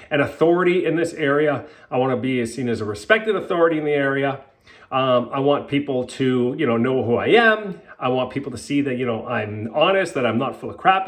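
A man hosting his own podcast as a health coach, talking candidly and emphatically with fillers and the odd swear, a little sniffly from a cold. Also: mid-range voice. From Canada. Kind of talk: casual solo podcast monologue.

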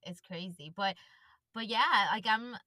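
A woman's voice: mid-range voice, unhurried, sharp tone, no echo. A woman from Ecuador hosting a casual podcast chat, talking in a chatty way with lots of fillers and a few Spanish phrases. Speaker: podcast host.

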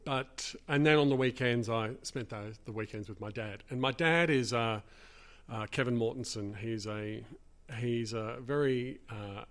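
A man, a guest speaking in a measured, thoughtful way. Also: mid-range voice, fairly bright tone. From Australia. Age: 50s. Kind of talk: casual interview.

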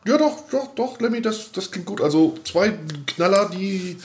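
A man's voice: high-pitched, lively intonation, normal speed. A man co-hosting a podcast, chatting off the cuff in colloquial, slangy speech and often repeating his words. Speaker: podcast co-host. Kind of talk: casual unscripted podcast chat.

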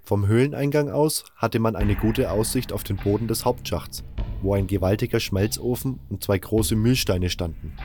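A man's voice: on the low side, moderate pace, a little mellow, faint music behind. A man reading scripted first-person fantasy narration as an audiobook narrator.

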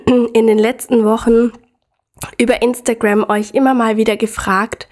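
A young woman hosting her own podcast, talking casually and enthusiastically straight to the listener, her voice slightly hoarse from a cold. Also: fairly high pitch, darkish tone. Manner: upbeat, enthusiastic, addresses listener directly. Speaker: podcast host. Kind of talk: casual solo podcast talk.

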